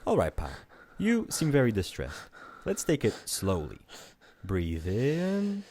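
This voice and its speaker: A man's voice: mid-range voice, huge pitch swings, slow, dark tone, full character voice. A man playing a breezy, condescending afterlife closure officer in an amateur radio drama. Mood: soothing. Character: fussy, glib male afterlife closure officer.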